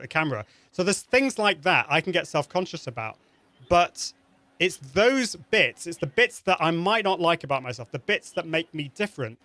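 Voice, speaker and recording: A man's voice: on the high side, fairly bright tone, no room sound. A man with a British accent, a guest on an interview show, giving earnest, encouraging advice and often restarting his sentences. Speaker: guest expert.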